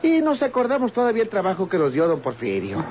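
A man's voice: sits high, wildly swooping pitch, quick pace, slight background noise, full character voice. A man playing a chatty, evasive rustic Mexican veteran drinker in an old-time radio drama. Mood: jaunty, evasive. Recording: tinny old radio recording with babble.

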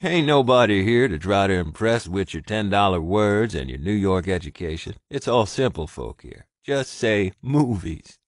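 A man's voice: lowish voice, sing-song, normal speed, few pauses, dry recording, clean studio sound. A man reading the part of a rugged, protective cowboy alpha in an audiobook, folksy and commanding. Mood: teasing.

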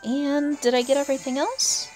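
A woman's voice: high voice, fairly even intonation, moderate pace, darkish tone, soft background music, rough recording. A woman giving casual, chatty gameplay commentary as a player and channel host, often talking to herself and to the game's characters. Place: indoors, voice-over on gameplay with game music behind.

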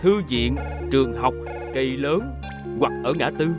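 Heroic cartoon firefighter: low voice, varied melody, reassuring teacherly delivery over music. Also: female voice, expressive, normal speed. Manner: instructive, reassuring. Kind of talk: animated children's cartoon dub, safety instruction.

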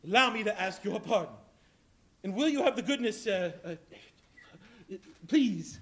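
A man's voice: high-pitched, expressive, unhurried. A man playing a giddy old miser reborn. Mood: warm, apologetic. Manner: giddy, exclaiming, laughing. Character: elderly male miser, joyful and giddy. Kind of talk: live stage reading. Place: large hall on a stage.

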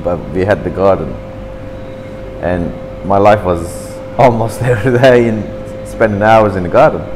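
Man in his fifties, fairly low voice, lively storytelling, faint air conditioning hum. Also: normal speed, in a large hall. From Turkey. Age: 50s. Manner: chatty, animated, gestures with hands, slightly broken English. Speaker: interviewee. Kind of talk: reminiscing in an interview. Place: café, seated at a table indoors. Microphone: camera across the table.

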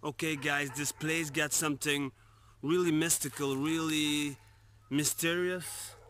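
A man's voice: fairly high pitch, fairly even intonation, a little slow, a bit bright, slight background noise. A man in his twenties chatting casually and slangily to viewers as an urban exploration vlogger, recorded outdoors on a phone held at arm's length. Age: 20s. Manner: slangy, addresses viewers as bros. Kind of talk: casual vlog chat to camera. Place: outdoors in woods by an abandoned building. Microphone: phone on selfie stick at arm's length.

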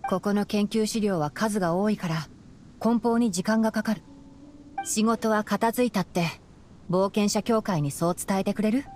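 Woman voicing a calm boyish fox-eared young scholar. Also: medium pitch, close to an everyday voice. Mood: composed, serious. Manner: measured, explanatory, boyish. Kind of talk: Japanese anime game voice acting. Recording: music behind the voice.

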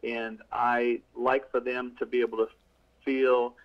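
A man's voice: mid-range voice, level tone, measured pace. Rambling middle-aged man on a dull line. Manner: rambling, many restarts and fillers. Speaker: author guest. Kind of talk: casual interview.

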